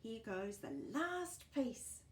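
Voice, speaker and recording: A woman voicing a cheerful, curious little male pig in a children's story, excitable and childlike. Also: a little high, drawn-out words, echoey room, heavily acted. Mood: excited. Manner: excitable, wondering, exclaiming.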